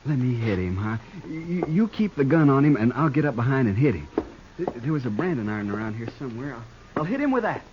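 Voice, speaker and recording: An actor playing a childlike, eager outlaw sidekick in a 1950s American radio western, halting and rustic in speech. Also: male voice, mid-range voice, very animated, lightly acted. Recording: dull old radio recording, faint hiss.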